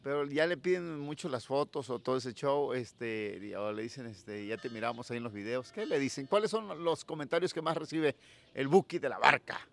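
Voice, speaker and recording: A Mexican man interviews a local singer in an outdoor plaza, holding a handheld mic. His voice has a gravelly edge at an ordinary pitch. He is friendly and chatty, sending shout-outs to people who are watching. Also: moderate pace. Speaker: interviewer, channel host. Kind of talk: casual street interview. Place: town plaza outdoors at dawn.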